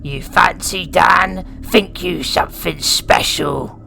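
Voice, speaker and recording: Shouting, gravelly peasant wretch. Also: male voice, high voice, takes it slowly, music in the background, pitched above the performer's own voice, full character voice. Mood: jeering, contemptuous. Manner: jeering, shouted taunting. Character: malnourished, taunting male peasant wretch in rags. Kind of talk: fan audio drama character acting.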